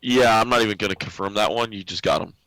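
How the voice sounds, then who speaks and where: A man acting as game master in a tabletop role-playing game, relaxed and joking, laughing often as he calls out turns and damage. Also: lowish voice, fast, dry recording, poor audio.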